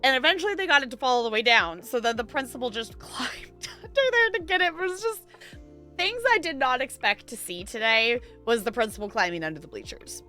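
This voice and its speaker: Animated, bright-voiced woman streamer chatting. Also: a little high, a little fast, soft background music. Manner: chatty, rambling, giggly. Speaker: live game streamer. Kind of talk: casual chat with stream viewers.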